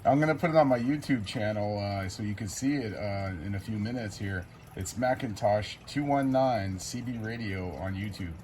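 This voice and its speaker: A man talks over CB radio with clear noise and static around him. His delivery is laid-back and informal, like an operator chatting with another hobbyist during a contact. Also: fairly low pitch, moderate pace, few pauses, a little mellow. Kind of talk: casual radio contact chat. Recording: very noisy CB radio setting, static.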